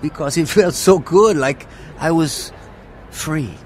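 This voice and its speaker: A man's voice: fairly high pitch, takes it slowly, darkish tone, a little hiss or hum. An actor playing an earnest, troubled young navy man in a confessional radio drama. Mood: joyful relief. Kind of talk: radio drama narration and acting. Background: music.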